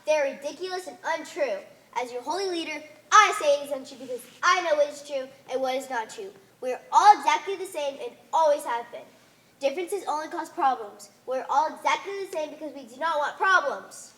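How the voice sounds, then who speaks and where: A woman's voice: sits high, animated, a little fast, sharp tone, poor audio. A woman playing a pompous, dogmatic alien holy leader in an amateur stage play, making solemn, commanding pronouncements. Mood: stern, preaching. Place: theater stage.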